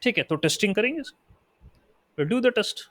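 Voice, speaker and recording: A man with an Indian accent teaching a statistics lesson. He explains in Hindi and reads definitions out slowly in English. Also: high-pitched, sing-song, a bit bright, dry recording. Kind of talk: lecture.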